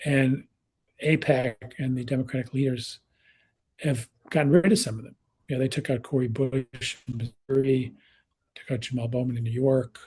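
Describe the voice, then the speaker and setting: A man's voice: medium pitch, a little mellow, breathy edge. A man in his sixties speaking on a panel in a conversational way, with many restarts and fillers, over a video call. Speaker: researcher and speaker. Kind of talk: panel talk.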